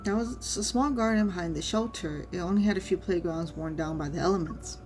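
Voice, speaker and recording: A woman streamer reading a game story aloud and reacting casually, in an expressive manner. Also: lowish voice, brisk, soft background music.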